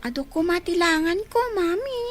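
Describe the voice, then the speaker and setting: A woman's voice: extremely high pitch, expressive, normal speed, over music. A woman acting a whiny, bored child in a radio drama. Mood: whiny, pleading.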